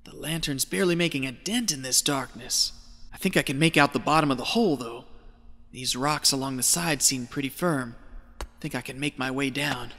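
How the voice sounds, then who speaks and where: A man's voice: medium pitch, moderate pace, bright, forceful tone. A man acting a greedy, half-mad treasure hunter in an audio drama, talking to himself in folksy exclamations. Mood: wary, muttering. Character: feverish, greedy male treasure hunter descending into madness. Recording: echoey cave reverb with music behind.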